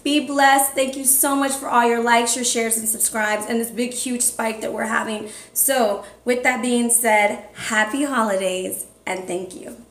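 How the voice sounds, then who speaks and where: A woman's voice: a little high, fairly even intonation, a little slow, few pauses, a bit bright. A woman in her thirties, an upbeat tarot reader talking casually to her viewers in a small room. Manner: upbeat, chatty, calls viewers family and fam. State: hoarse, losing her voice. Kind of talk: casual monthly tarot reading vlog. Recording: echoey room.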